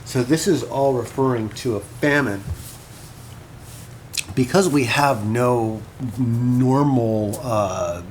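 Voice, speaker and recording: Male lecturer: thoughtful scholar, deliberate and slow, halting, informal, audible background noise. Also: sits low. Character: male academic lecturer on ancient literature, musing, earnest. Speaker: lecturer and podcast host.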